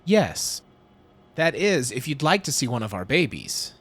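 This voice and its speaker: A man's voice: mid-range voice, very animated, measured pace, a bit bright, husky, heavily acted. A man playing a proud, self-assured geneticist explaining his lab to visitors in a fan audio drama. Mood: evasive, polite. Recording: music under the voice.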